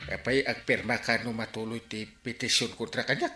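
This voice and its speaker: A man with an Ilocano accent playing an excitable, blustering village captain in a comedy radio drama, stammering and exclaiming as he makes excuses. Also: medium pitch, normal speed, reverberant, soft background music, natural voice. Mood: flustered, pleading.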